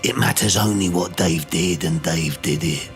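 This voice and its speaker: A man's voice: low-pitched, moderate pace, soft background music. A man playing a theatrical, pompous pub mate who declaims grand verse in a comic audio drama. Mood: grave, declamatory.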